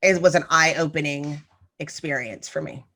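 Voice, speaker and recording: A woman's voice: sits low, normal speed, sharp tone. A woman co-hosting a podcast, chatting casually and drifting into stories with frequent ums. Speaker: podcast co-host. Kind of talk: casual conversation.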